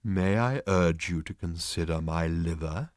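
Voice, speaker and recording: A man's voice: sits low, no echo, studio quality. A man voicing a placid talking cow-like dish. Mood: placid courteous. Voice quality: slow, soft, courteous mooing tone. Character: polite bovine dish-of-the-day creature, placid and eager.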